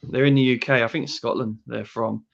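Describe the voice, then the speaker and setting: A man co-hosting a live tech podcast, relaxed, making brief replies and laughing easily. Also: mid-range voice, fairly quick, gravelly, dull audio. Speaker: podcast co-host. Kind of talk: casual live-stream chat.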